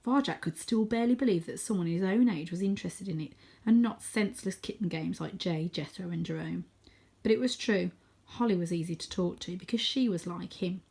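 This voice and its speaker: A woman reading a children's story aloud in a dramatic manner, dropping to a hushed near-whisper for suspense. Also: on the low side, fairly quick, vocal fry.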